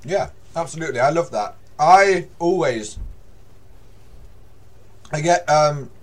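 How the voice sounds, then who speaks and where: A young man with a deep voice, a guest on a podcast, chatting in a friendly way with frequent fillers. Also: expressive, slow. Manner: friendly, laughs often, hesitant fillers. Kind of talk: casual chat.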